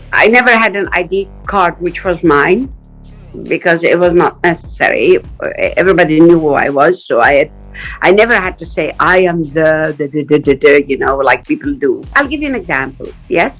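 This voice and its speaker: A middle-aged woman from Palestine telling stories in an interview, animated and often laughing. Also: lowish voice, normal speed, faint music behind, rough recording. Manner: animated, acts out quoted voices, laughs often. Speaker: interview guest. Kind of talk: casual storytelling in an interview.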